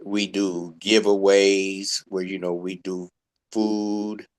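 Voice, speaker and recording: A man talking casually as a co-interviewer, halting and rambling as he goes. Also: deep voice, little pitch movement. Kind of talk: casual conversation.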